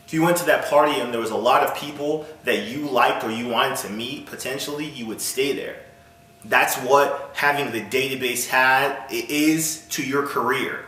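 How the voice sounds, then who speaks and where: A man giving an informal, blunt instructional talk as a coach, explaining points and often checking in with the listener. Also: medium pitch. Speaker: music industry coach, video host. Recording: roomy echo with clear music underneath. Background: music.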